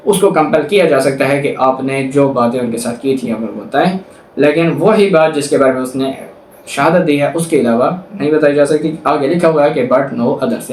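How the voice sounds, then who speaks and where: A man's voice: a little high, a little mellow, slight background noise. A man with a Pakistani accent giving a law lecture, reading out statutes and explaining them. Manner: slow, deliberate English reading, quicker Urdu explanations with English legal terms. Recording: echoey room, distant microphone.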